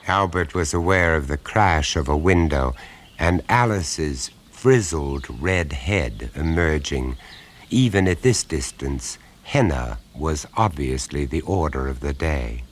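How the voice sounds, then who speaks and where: An actor narrating a radio play as a wry, measured male storyteller of a suburban tale. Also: a bass voice, lively intonation, exaggerated voice. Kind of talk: scripted radio drama narration. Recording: 1970s radio play recording.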